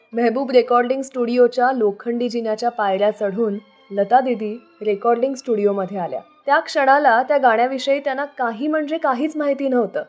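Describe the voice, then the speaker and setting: High-voiced woman radio host over music. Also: darkish tone. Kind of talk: scripted radio narration and storytelling about old songs. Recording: music playing under the voice. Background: background music.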